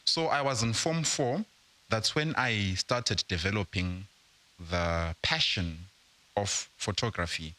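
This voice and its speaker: A young man from Zimbabwe, a guest entrepreneur, explaining at a slow, measured pace in a casual interview. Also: lowish voice, pushed and bright. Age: young adult.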